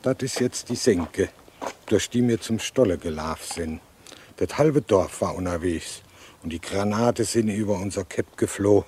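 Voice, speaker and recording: A man playing a plain-spoken villager with a west-central German regional accent, giving a halting, colloquial eyewitness account in a radio play. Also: on the low side, a little mellow, a little hiss or hum, lightly acted. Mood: matter-of-fact recollection. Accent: regional west-central German (Hessian-Palatinate).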